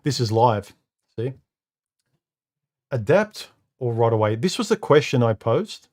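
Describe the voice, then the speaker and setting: A man with a deep, slightly muffled voice hosts a live stream from home. He speaks casually and haltingly, often repeating a word before he finds his sentence. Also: sing-song, a little mellow. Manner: halting, repeats words, many uh and um fillers. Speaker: live stream host reading comments. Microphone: studio microphone.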